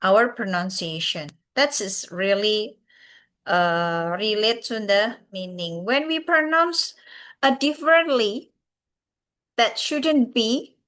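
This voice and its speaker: A woman from Central Java with a Javanese accent, giving a recorded English lecture, pausing often with "uh" and "yeah" and addressing the class as everyone. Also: medium pitch, lively intonation, a bit bright. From Central Java, Indonesia. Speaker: university lecturer.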